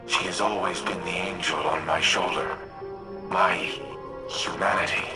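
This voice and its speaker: A low-voiced, noble war AI speaks over loud music. The delivery is measured and grave, a video game machine weighed down by remorse. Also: male voice, fairly even intonation. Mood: solemn remorse. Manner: solemn, measured, deliberate delivery. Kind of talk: video game character dialogue.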